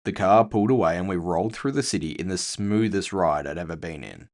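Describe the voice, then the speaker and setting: A man's voice: sits low, expressive, a little fast, darkish tone. A man narrating scripted first-person fiction with a wry storytelling manner.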